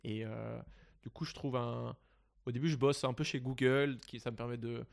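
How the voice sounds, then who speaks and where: A man's voice: mid-range voice, steady tone, normal speed, gravelly. A young man from the Paris region of France, a guest in an interview, telling his story casually with many fillers and restarts. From Seine-et-Marne, France. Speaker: guest investor.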